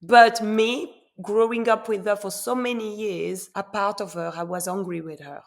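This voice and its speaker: An earnest woman guest in a podcast interview, her voice full of gravelly fry. She was born in Congo and grew up in Belgium and Switzerland. She speaks reflectively and sometimes repeats a word before going on. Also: medium pitch, fairly even intonation, normal speed. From born in Congo, raised in Belgium and Switzerland. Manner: earnest, repeats words while searching for them.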